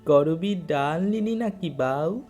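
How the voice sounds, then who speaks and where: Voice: mid-range voice, animated, takes it slowly, darkish tone, faint music behind. A narrator voicing an elderly village woman in a ghost story, coaxing and familiar, with a North Bengal dialect accent. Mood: curious, familiar. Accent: North Bengal (Jalpaiguri). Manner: rustic dialect, beckoning, familiar address. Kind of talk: audio ghost story character.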